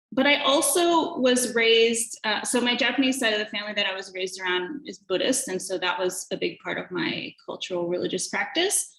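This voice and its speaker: A woman's voice: mid-range voice, a bit bright. Thoughtful woman presenter, echoey room. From Hawaii. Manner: thoughtful, many fillers. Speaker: academic presenter. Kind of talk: conference presentation with slides.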